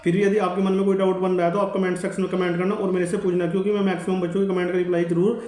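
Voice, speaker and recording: A man's voice: high voice, level tone, racing, a little mellow. A man giving an informal advisory update to viewers, insistent, earnest and repetitive. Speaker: exam update YouTuber. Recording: echoey room.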